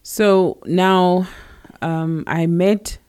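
A woman's voice: fairly low pitch, steady tone, very slow, a little mellow, clear, resonant tone. A woman from co-hosting a casual podcast, talking in a chatty, hesitant way. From South Africa. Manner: chatty, hesitant, many fillers and restarts. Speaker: podcast co-host.

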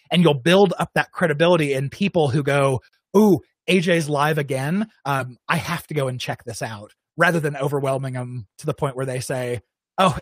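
A man from Arizona, a guest in an interview, giving relaxed, conversational advice and acting out what viewers might think. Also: on the high side, no echo. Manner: relaxed, conversational, acts out imagined viewers' thoughts.

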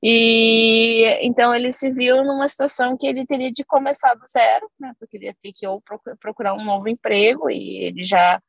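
A woman's voice: fairly high pitch, fairly even intonation, a little fast, vocal fry, hardly any pauses, dry recording. A woman in her thirties from Brazil, a guest on a podcast, chatting casually and telling a long story with many fillers and asides. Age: 30s. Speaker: podcast guest, company CEO.

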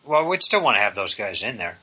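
Bright-voiced joking male co-host. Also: on the low side, very animated, breathy edge. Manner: joking, quick asides. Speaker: podcast co-host. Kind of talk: casual podcast banter.

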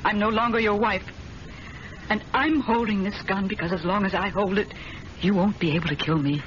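An actress playing a frightened, melodramatic wife in an old radio mystery drama. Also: female voice, mid-range voice, moderate pace, natural voice. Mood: tense, defiant. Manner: tense, pleading, melodramatic. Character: terrified wife holding her husband at gunpoint. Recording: dull noisy vintage radio recording.

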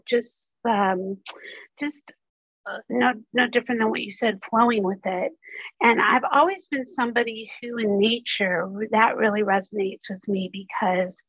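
Female interview host with a hoarse, raspy voice, earnest and candid. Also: medium pitch, a bit bright, dry recording, highs cut off. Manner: earnest, candid, with quick asides. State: rough, hoarse voice. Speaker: podcast host. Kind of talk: conversational interview.

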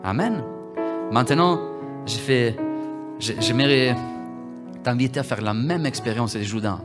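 A man from Italy, preaching a livestreamed sermon that repeats its phrases and builds from quiet talk to loud exhortation. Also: medium pitch, unhurried, over loud music. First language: Italian.

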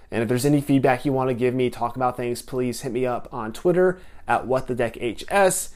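A man's voice: medium pitch, darkish tone. Young guy doing a chill solo podcast from home, kinda stuffy from a cold, rambles and restarts a lot. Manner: rambling, with frequent restarts and filler words. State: getting over a head cold. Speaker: podcast host. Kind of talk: casual solo podcast talk.

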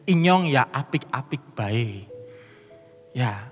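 A man's voice: medium pitch, lively intonation, slow, faint music behind. A man with a Banyumas Javanese accent, preaching and reading scripture aloud with emphatic, rising delivery in a church. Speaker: pastor. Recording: long church hall reverb.

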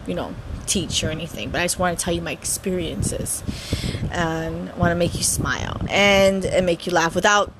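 A woman's voice: lowish voice, fairly even intonation, normal speed. Woman in her thirties, from Hawaii, casual podcast chat, stutters and restarts, audible background noise. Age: 30s. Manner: chatty, giggly, stutters and restarts often. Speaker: podcast host. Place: home.

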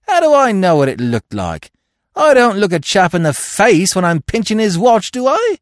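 A cheeky, sullen little crook out of a storybook, put on by a male narrator. His high voice jumps about as he whines and answers back in rough, slangy grammar. He is cunning and defiant, recorded very dry. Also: wildly swooping pitch, moderate pace, no echo, heavily acted. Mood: indignant. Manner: whining, indignant, defiant, colloquial grammar. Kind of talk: audiobook character voice.

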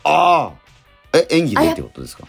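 A middle-aged man hosting a radio show in casual, animated banter. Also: fairly high pitch, fairly quick, creaky, slightly rough, soft background music. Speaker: radio show host and stage actor.

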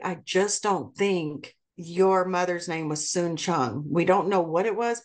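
A middle-aged woman from South Korea, a podcast guest speaking earnestly and carefully, spelling out names and details. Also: lowish voice. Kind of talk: conversational interview.

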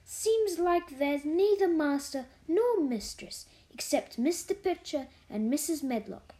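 A woman's voice: high voice, lively intonation, a little slow, mellow, pitched above the performer's own voice. A woman voicing a good-humoured, plain-spoken young housemaid who talks in broad Yorkshire dialect, for a storybook. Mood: cheerful, chatty.

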